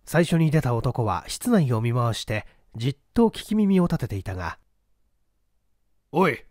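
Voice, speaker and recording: A man narrating a novel in a measured, theatrical storytelling manner. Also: medium pitch, sing-song, darkish tone, dry recording, clean studio sound. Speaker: audiobook narrator. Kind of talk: dramatic literary novel reading.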